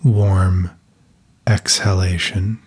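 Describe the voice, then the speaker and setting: Male meditation guide: lowish, husky and breathy, calm and soft, long pauses between phrases.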